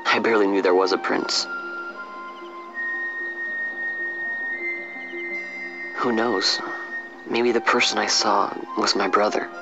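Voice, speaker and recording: Actor as young street-bred man, slightly low voice, plainspoken, sincere, restless, muffled game audio with music. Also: fairly even intonation, normal speed, close to an everyday voice. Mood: troubled. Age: young adult. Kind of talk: dramatic game voice acting.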